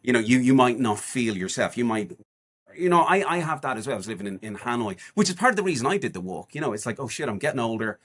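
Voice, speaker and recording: A man's voice: medium pitch, sing-song, fast talker, a bit bright, husky. A man in his forties, a guest on a podcast, chatting casually in a rambling way with many restarts and fillers. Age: 40s. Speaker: guest, charity walker.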